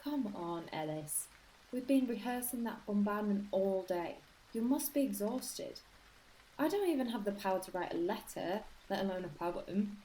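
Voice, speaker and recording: A woman playing a concerned, tired soldier comrade in a radio drama, gently coaxing and questioning a friend. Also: mid-range voice, lively intonation, moderate pace, slight background noise, close to an everyday voice, poor audio.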